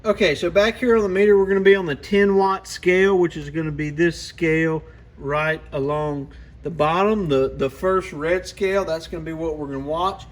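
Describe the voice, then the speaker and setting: A man's voice: on the high side, steady tone, normal speed. A man hosting a casual hands-on product walkaround, talking in a plainspoken, informal, explanatory way. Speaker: product reviewer and channel host.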